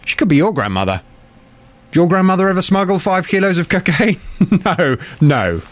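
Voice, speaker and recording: A man's voice: sits high, sing-song, moderate pace. A man co-presenting a radio show, pressing his co-host with argumentative questions. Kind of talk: casual radio chat. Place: radio studio. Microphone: studio microphone.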